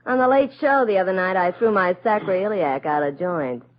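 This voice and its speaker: An actress playing a wry, down-on-her-luck showgirl in a radio drama, casual, slangy and deadpan. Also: medium pitch, moderate pace, natural voice. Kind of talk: old-time radio drama acting. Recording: muffled old radio recording.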